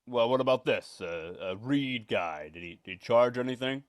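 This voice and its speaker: A man's voice: medium pitch, lively intonation, normal speed, clean tone, close to an everyday voice. A man playing a penny-pinching, anxious property owner in old-time radio suspense style, curt, skeptical and fretful. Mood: grumbling, skeptical.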